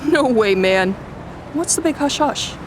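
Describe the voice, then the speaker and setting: A woman's voice: high-pitched, animated, measured pace, slight background noise. A woman playing a chunky, wisecracking middle school boy in a family audio drama, breezy and teasing. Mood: curious, breezy.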